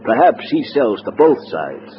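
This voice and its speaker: Anxious, swooping communist spy. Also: male voice, medium pitch, unhurried. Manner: formal, stiff, excitable. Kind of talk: 1950s radio drama acting. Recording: muffled, noisy old radio recording.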